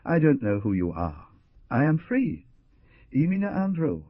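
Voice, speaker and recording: A man's voice: mid-range voice, wildly swooping pitch, a little slow, no echo, pitched above the performer's own voice. A man voicing a desperate older peasant mother who pleads tearfully, in a dull lo-fi recording. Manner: tearful, imploring.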